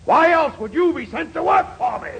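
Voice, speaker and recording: Sarcastic fed-up boss, high voice swinging all over, old noisy radio comedy. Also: male voice, animated, moderate pace, vocal fry, fairly bright tone, full character voice. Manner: sarcastic, theatrical indignation. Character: exasperated, sarcastic male boss berating his hapless employee. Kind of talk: 1940s radio comedy acting. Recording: noisy 1940s radio recording.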